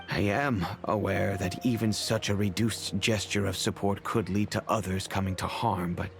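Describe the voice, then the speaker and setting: An actor playing a fatherly middle-aged merchant who speaks in a measured, reflective, remorseful way, over faint music. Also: lowish voice. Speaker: wealthy patron and father figure. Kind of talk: video game voice acting. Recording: game audio with faint music.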